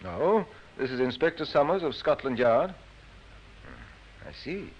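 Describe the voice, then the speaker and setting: Authoritative British inspector, methodical and stern, medium voice, muffled vintage radio drama. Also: male voice, mid-range voice, sing-song, a little hiss or hum. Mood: firm. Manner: brisk, authoritative. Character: stern, methodical Scotland Yard police inspector. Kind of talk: 1950s radio drama acting. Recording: dull old radio recording.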